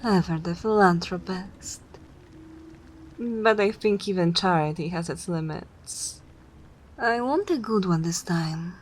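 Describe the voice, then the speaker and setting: A woman playing a haughty, regal older vampire mistress in an ASMR roleplay, speaking in an imperious, measured way. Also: lowish voice, faint music behind, poor audio. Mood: dry, teasing. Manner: imperious, measured, breathy near-whispers.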